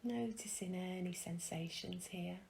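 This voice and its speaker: Female meditation guide: very slow, gentle and hushed, some creak in the voice, faint noise behind. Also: lowish voice. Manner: soft, soothing, gentle delivery with long pauses.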